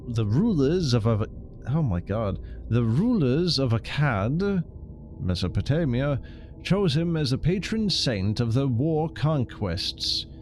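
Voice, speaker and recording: A man's voice: medium pitch, darkish tone, slight background noise. A man streaming a game, commenting casually and reading text aloud.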